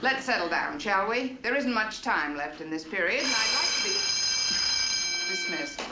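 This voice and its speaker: An actress playing a wry, quick-witted high-school teacher in a 1950s sitcom, precise and deadpan in manner. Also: female voice, lightly acted. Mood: firm, dry. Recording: muffled, noisy old soundtrack with audience.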